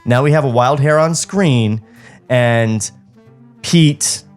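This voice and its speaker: A man's voice: medium pitch, takes it slowly, soft background music. Man reading a script on a comedy podcast. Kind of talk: comedic table read with casual commentary.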